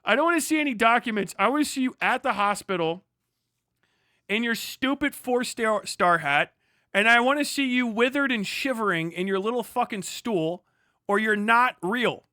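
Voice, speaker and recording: A man's voice: very high voice, expressive, moderate pace, bright, forceful tone, dry recording, clean studio sound. A man hosting a livestream, giving sarcastic, exasperated and profane mocking commentary. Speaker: livestream host.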